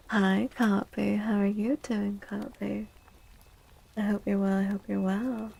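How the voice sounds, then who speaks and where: A woman streaming soft-spoken ASMR, chatting gently and playfully with viewers, often close to a whisper and repeating little phrases. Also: mid-range voice, low-quality recording. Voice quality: soft, breathy, husky, often near whisper. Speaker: ASMR streamer, tarot reader.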